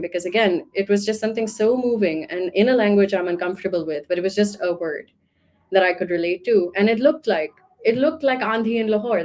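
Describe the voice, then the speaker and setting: A woman's voice: fairly low pitch, darkish tone. Reflective woman from Pakistan, chatty. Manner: reflective, talkative, many "like" fillers. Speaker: art professor guest. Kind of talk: casual interview answers.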